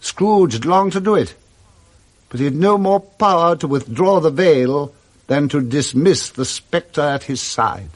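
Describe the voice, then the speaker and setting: A man with a British accent narrating a Victorian ghost story in a deliberate, theatrical radio drama style. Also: a little high, expressive. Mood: grave, suspenseful.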